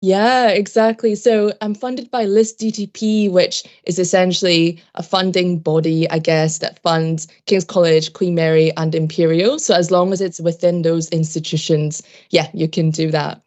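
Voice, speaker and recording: Low-voiced reflective young woman. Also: flat delivery, a little mellow. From Japan, raised in Scotland from age ten. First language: Japanese. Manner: reflective and measured, hedging often. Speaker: podcast guest, PhD student. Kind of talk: casual interview talk.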